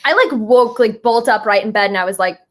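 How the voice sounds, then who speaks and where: A woman's voice: on the high side, brisk. A woman in her early twenties chatting casually as an interview guest, in youthful, slangy speech. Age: early 20s. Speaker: interview guest, musical writer. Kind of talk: casual chat.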